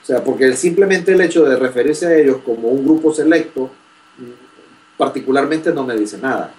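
A man's voice: mid-range voice, brisk, warm tone, recorded from a distance. A young man from Honduras, a graduate student politely asking a question, formal and hesitant with many fillers. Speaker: graduate student, first-time participant. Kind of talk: asking a question in an online chat.

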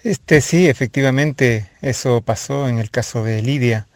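A man asks a hesitant question about scripture. His voice is gravelly. The recording is dry and close. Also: medium pitch, unhurried, no room sound.

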